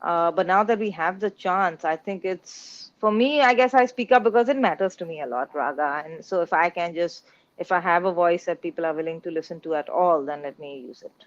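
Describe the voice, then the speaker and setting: A woman's voice: lowish voice, a little fast, dull audio. A woman from India, an interview guest, making her case in an earnest, articulate way. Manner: earnest, articulate, building an argument. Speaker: guest, business executive. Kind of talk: interview answers.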